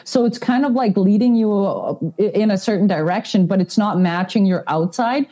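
Woman in her 30s guesting on a podcast, warm mellow tone, casual slangy talk. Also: medium pitch, moderate pace. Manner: informal, slangy.

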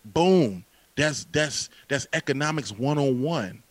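A man in his late thirties in a casual podcast conversation, talking with animated emphasis, repeating phrases and using casual slang. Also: medium pitch, takes it slowly, dry recording. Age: late 30s.

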